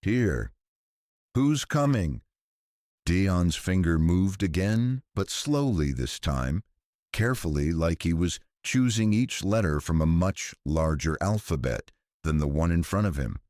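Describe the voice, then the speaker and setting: A man narrating a science fiction thriller audiobook in a measured, deliberate storytelling style. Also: low-pitched, lively intonation, darkish tone, no room sound, clean studio sound.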